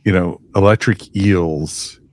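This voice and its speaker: Male co-host, very deep voice, playful and enthusiastic, dry recording. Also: steady tone, slow pace, darkish tone, dry recording. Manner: enthusiastic, jokey. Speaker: podcast co-host. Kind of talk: casual review chat.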